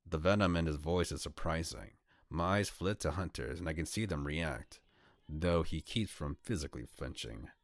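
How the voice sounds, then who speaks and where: A young man with a very low, deep voice reads a visual novel aloud in the first person. His delivery is calm and even, close to a home desk mic with a dry, dead sound. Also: moderate pace, vocal fry, dry recording. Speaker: VTuber streamer reading a visual novel.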